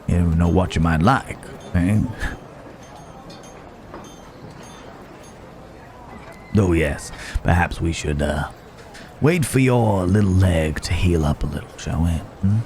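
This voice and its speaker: A man's voice: low voice, a little mellow, slight background noise, close to an everyday voice. A man playing a roguish, genteel Wild West stranger in an ASMR roleplay, wry and musing, speaking straight to the listener. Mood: wry, teasing. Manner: unhurried, wry, musing, with drawn-out pauses.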